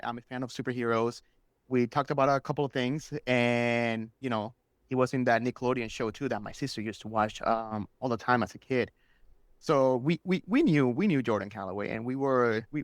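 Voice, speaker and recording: A man's voice: medium pitch, dry recording, clean studio sound. A man whose first language is Spanish, chatting enthusiastically and casually as a guest telling stories on a podcast. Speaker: interview guest, superfan.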